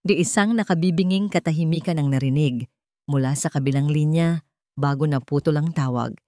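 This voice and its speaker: Low-pitched Filipino woman narrating dramatically. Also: fairly quick, mellow, no room sound, clean studio sound. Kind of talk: dramatic scripted story narration.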